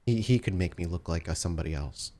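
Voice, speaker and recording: Male game master as vulnerable young girl, soft-hearted and nervous, stumbling over words in a low register. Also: natural voice. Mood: shy, uncertain. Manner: halting, stammering, soft-hearted. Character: timid, vulnerable young girl, grateful and anxious.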